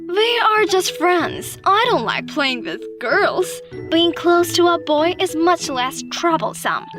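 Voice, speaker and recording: Animated story role: woman as self-absorbed teen girl, very high voice, boastful and whiny, over a music bed. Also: slow pace, heavily acted. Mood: smug, boastful. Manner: boastful, dismissive, whiny when playing helpless. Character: bratty, self-absorbed teenage pick-me girl, feigning sweetness.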